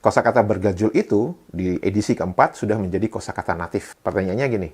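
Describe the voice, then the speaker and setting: A man's voice: lowish voice, fast talker, a little mellow, slightly rough. A man with an Indonesian accent giving casual explanatory commentary, talking straight to viewers and posing rhetorical questions. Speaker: video host and commentator.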